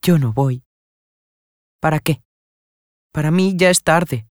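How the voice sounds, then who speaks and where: A man's voice: a little high, takes it slowly, no room sound, clean studio sound, voice pushed up. A man voicing a poor orphan girl who speaks in a trembling, desperate way. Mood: resigned.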